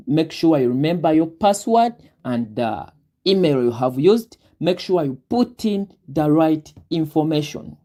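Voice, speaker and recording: Young man, Ugandan accent, mellow tone, slow deliberate advice, lots of fillers. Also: mid-range voice. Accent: Ugandan English. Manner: deliberate, breaks words down slowly with many fillers. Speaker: guest, army recruit giving advice. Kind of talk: advice talk on a live Q&A.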